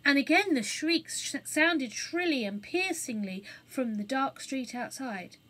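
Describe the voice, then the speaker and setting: A woman reading a children's story aloud as an expressive storybook narrator. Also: sits high, measured pace.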